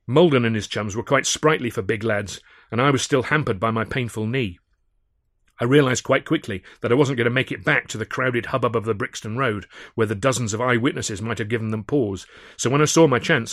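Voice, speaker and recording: A man reading a scripted first-person comic adventure, wry and expressive as a storyteller. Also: mid-range voice, dry recording, clean studio sound. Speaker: author narrating own audiobook.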